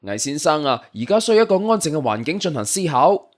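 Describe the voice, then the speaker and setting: A man playing a gentle, anxious young rich man, earnest and nervous, in an audio drama. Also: on the high side, big pitch swings, fast. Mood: urgent, pleading. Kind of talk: Cantonese audio drama acting.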